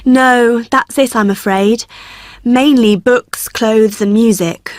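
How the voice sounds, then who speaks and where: An actress playing a helpful student union worker, explaining forms to a new student in a scripted exam dialogue. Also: female voice, fairly high pitch, steady tone, slow, no room sound, clean studio sound. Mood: friendly. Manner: helpful, explanatory, occasionally laughing. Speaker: student union staff member.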